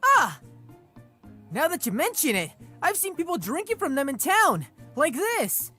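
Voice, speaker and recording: A woman playing an eager, wide-eyed young male hero in a comic dub, excitable and expressive. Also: sits high, unhurried, faint music behind, exaggerated voice. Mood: surprised.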